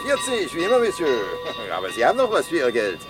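An actor playing a brisk, cheeky male fairground ticket seller in a 1960s German radio play, with showy patter. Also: on the high side, huge pitch swings, loud music behind the voice. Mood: jovial.

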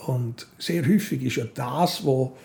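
An older man with a Swiss German accent, telling anecdotes in a casual, animated way, repeating himself as he goes. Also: mid-range voice, unhurried, gravelly. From Switzerland. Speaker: interview guest. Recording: music behind the voice.

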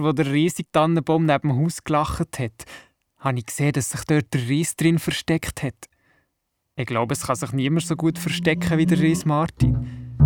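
A male performer as an imaginative young grandson narrating a children's radio play. He has a Swiss German accent and a fairly high voice, earnest and eager. Music plays clearly behind him. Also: natural voice. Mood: excited, proud. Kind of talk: first-person storytelling in children's radio play.

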